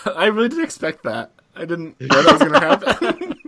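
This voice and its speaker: A man's voice: high-pitched, huge pitch swings, moderate pace, creaky, pushed and bright, slightly rough, no room sound. A man hosting a comedy podcast, giggly and easily cracked up as he chats and reads aloud casually. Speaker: podcast host. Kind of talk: casual comic podcast chat, reading a summary aloud.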